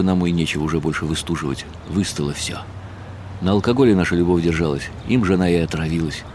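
Man, literary narration, first person, measured, wry, very low pitch. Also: a little mellow, a little hiss or hum.

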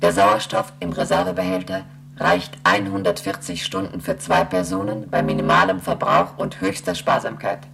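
A man playing a slow, emotionless space station computer in a radio play, reciting formal announcements in a deliberate monotone. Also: deep voice, gravelly. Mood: impassive, formal.

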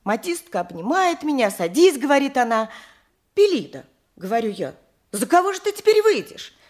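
A woman's voice: high-pitched, very animated, normal speed, a little mellow. An actress playing a chatty, worldly-wise married peasant woman in an audio drama, talking in a matter-of-fact, gossipy way. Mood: confiding, wry. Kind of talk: theatrical audio drama with first-person narration.

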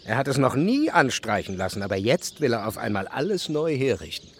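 An actor playing a resigned, philosophical, unhurried house painter in a radio drama. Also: male voice, medium pitch, sing-song, natural voice. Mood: resigned, musing. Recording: faint outdoor birdsong noise.

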